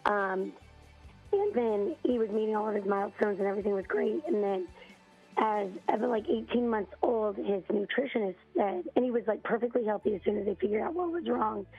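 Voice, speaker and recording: Hoarse woman telling her story on a phone line. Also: medium pitch, faint music behind. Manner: halting storytelling with many ums, clearing her throat. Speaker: parent on a radio call.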